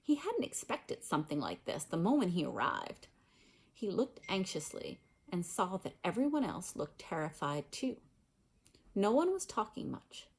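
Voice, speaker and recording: Female storybook reader, slightly rough-edged voice, animated intonation, expressive read-aloud narration. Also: on the low side.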